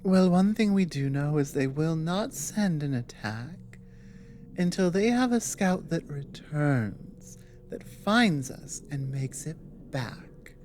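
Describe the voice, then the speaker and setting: A woman playing a weary, earnest dragon ally with magic in an ASMR audio roleplay, speaking in a measured, halting way. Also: low voice, expressive, darkish tone, slight background noise. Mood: grave, thoughtful.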